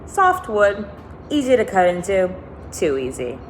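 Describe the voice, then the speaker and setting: Woman reading as an earnest, hurried young boy. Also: mid-range voice, huge pitch swings, a little hiss or hum, pitched above the performer's own voice. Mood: matter-of-fact. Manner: short, polite, hurried phrases. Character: earnest young boy working in a woodworking shop.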